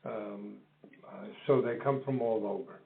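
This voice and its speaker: A middle-aged or older man, explaining his business in an interview in measured, deliberate phrases. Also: lowish voice, vocal fry. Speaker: business co-founder being interviewed. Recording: dull phone-like call audio.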